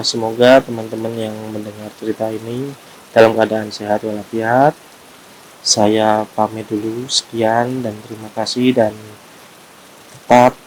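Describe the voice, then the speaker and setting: A man's voice: lowish voice, darkish tone, a little hiss or hum, low-quality recording. A man with a Javanese accent reading a horror story aloud unrehearsed, with small slips and restarts, then chatting casually to his listeners. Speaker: horror story reader and channel host.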